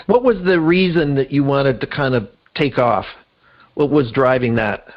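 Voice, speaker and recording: A man's voice: medium pitch, moderate pace, highs cut off. A man hosting a conversational interview show, putting questions to his guests. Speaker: podcast host.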